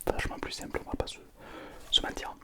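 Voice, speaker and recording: A gamer commentating casually on play in a breathy whisper, repeating phrases with playful mock bragging. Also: quick pace. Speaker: gaming video host.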